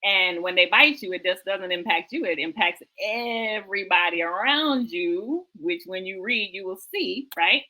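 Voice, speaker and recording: A woman's voice: mid-range voice, animated, moderate pace, hardly any pauses, sharp tone, poor audio. A woman hosting a book talk show, speaking conversationally and enthusiastically in an emphatic, exhorting way that repeats phrases for effect.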